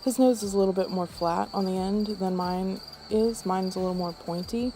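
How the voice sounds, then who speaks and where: An unwell woman with throat trouble giving a casual makeup tutorial voice-over in a low-energy, subdued manner. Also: normal speed, dark tone, slight background noise. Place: voice-over recorded apart from the footage.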